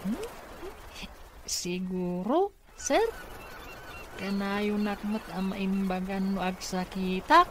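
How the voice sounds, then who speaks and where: A woman's voice: medium pitch, background noise. A woman with an Ilocano accent playing an innocent, candid young child in a radio drama. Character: innocent well-behaved young child of the therapist.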